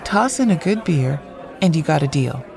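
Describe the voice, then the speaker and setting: Actress as a witty, creaky-voiced woman on a date. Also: sits low, expressive, moderate pace, crowd noise. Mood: pleased, playful. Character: witty, frank woman on a friendly first date. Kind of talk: audio drama dialogue.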